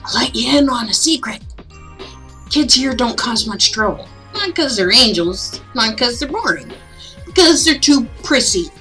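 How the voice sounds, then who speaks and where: A woman's voice: on the high side, expressive, a little slow, bright, forceful tone, soft background music. A woman voicing a wisecracking, slacker male mentor from a story game in a teasing, mock-grand way. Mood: casual, teasing. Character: breezy, wisecracking male school counselor, playful slacker mentor.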